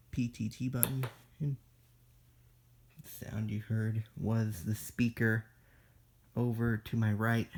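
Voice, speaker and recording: Casual hobby demo presenter: unhurried and gravelly, delivering instructions in halting pieces. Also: medium pitch, darkish tone. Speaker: demonstrator in a homemade electronics video. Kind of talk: casual hands-on product demo.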